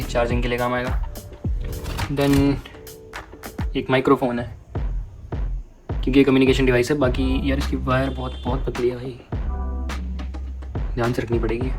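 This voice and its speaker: Casting: Indian male vlogger, casual and informal product walkthroughs, flat intonation, English phrases mixed in, clear music bed underneath. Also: medium pitch. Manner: informal, addresses viewers as friends, mixes in English phrases. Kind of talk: casual vlog talk, unboxing and product walkthrough.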